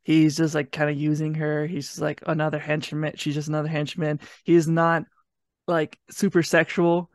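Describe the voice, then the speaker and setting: A man with a gravelly, creaky edge to a fairly high voice chats casually as a podcast co-host. The sound is dry and close, with hardly any room on it. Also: completely flat delivery, moderate pace, dry recording. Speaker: podcast co-host reviewing an audio drama. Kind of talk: casual chat.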